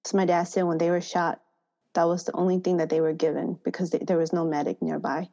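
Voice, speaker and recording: A woman's voice: fairly low pitch, level tone, fast talker, vocal fry, dark tone, dull audio. A woman with an American accent speaking as a podcast guest in an informal, chatty interview style. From Thailand and United States. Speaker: podcast guest, farmer education manager. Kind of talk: conversational interview.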